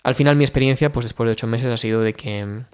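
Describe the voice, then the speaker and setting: A man's voice: mid-range voice, fast, clear, resonant tone. A man from Spain talking casually in a vlog, thinking aloud with many fillers and restarts. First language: Spanish. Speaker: vlogger. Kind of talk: casual personal vlog talk.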